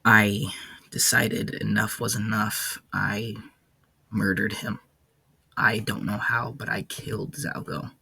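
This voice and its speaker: A man playing a weary, haunted ancient warden in an audio log, speaking in a halting, somber way with a gravelly, husky low voice. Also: flat delivery, bright, forceful tone, dry recording, heavily acted, low-quality recording. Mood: weary, grim. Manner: halting, somber, hesitant delivery. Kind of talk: character audio log, slow monologue.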